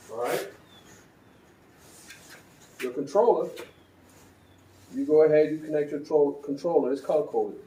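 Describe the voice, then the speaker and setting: A man chats through a riding gear review in an unhurried, conversational way, stumbling and restarting now and then. His voice is mellow and relaxed. It sounds a bit distant and roomy, with faint ambience underneath. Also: a little high, slight background noise. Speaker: motorcycle vlogger.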